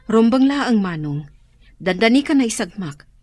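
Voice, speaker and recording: A woman's voice: on the high side, sing-song, normal speed, darkish tone. An actress playing a worried woman caring for her older brother, speaking earnestly in a radio drama.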